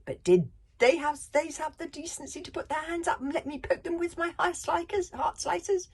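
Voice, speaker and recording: A woman's voice: high-pitched, normal speed, a little mellow, pitched above the performer's own voice. Woman doing a hissing, whiny scary librarian. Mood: outraged. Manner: screechy, mangled grammar.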